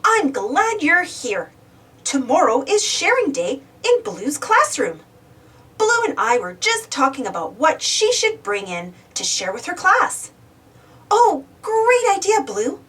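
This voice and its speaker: Casting: cheerful young kids' show host, acted out by a woman, high-pitched and highly animated, sing-song storybook delivery, unhurried. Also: far from the mic, exaggerated voice. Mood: welcoming, eager. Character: Joe, cheerful young man hosting a preschool show. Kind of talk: children's storybook read-aloud.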